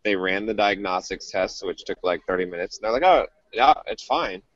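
A man in his thirties co-hosting a podcast, talking casually in a halting way that repeats itself. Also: deep voice, fairly bright tone, no room sound. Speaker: podcast co-host. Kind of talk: casual panel chat. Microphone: earphones on a phone video call. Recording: dull, dry phone video call.

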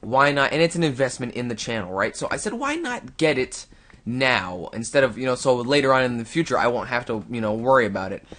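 A young man with a middling pitch commentates on a game he is playing. He rambles in a casual, chatty way, drifting between talking to himself and addressing his viewers. He speaks close to a desktop microphone. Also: very animated, fairly quick, fairly bright tone. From Canada. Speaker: gaming YouTuber. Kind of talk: casual let's-play commentary.

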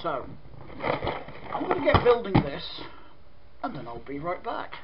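A man from Britain with a croaky voice, casually reviewing a toy set, rambling with lots of ums and clearing his throat. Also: sits high, wildly swooping pitch, measured pace, lo-fi sound, very noisy. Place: indoor room at a desk with toy display.

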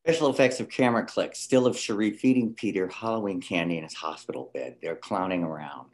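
A man's voice: deep voice, brisk. A man reading scene headings and stage directions in scripted narration at a table reading.

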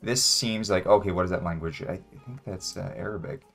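Low-voiced young man musing over a game. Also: fairly quick, vocal fry, fairly bright tone, soft background music. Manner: hesitant, musing, hums while thinking. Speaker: guest player, beginner. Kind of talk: casual gameplay chat, thinking aloud. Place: small home room indoors.

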